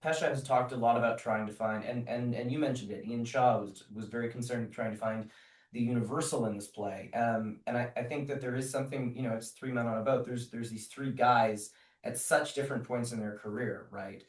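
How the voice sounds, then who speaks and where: A man speaking as an actor being interviewed, casual and reflective, halting and a little self-deprecating as he explains himself. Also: medium pitch, steady tone. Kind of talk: casual thoughtful interview talk. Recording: echoey room, distant microphone.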